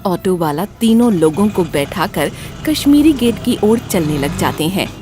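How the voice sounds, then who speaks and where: A woman reading scripted narration for an animated storybook horror tale. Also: on the low side, a little slow, over music.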